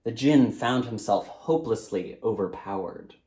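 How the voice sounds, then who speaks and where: A man reading a storybook aloud as its author, in a dramatic, measured narrating style. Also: fairly low pitch, expressive, warm tone.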